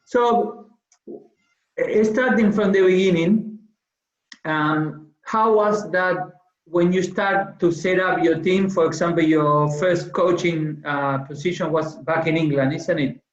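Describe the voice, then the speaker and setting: A man from Argentina with a fairly high voice and an Argentine Spanish accent speaks as an interviewer. His manner is friendly and appreciative. The recording is echoey and somewhat muffled. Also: slow. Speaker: interviewer, football coach. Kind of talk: conversational interview. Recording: muffled, echoey room sound.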